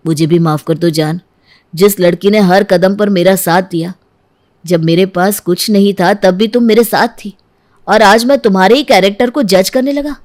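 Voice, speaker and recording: A woman's voice: medium pitch, moderate pace, darkish tone, close to an everyday voice. A woman voicing a distraught, sincere young husband in a story, emotional and aggrieved. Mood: remorseful.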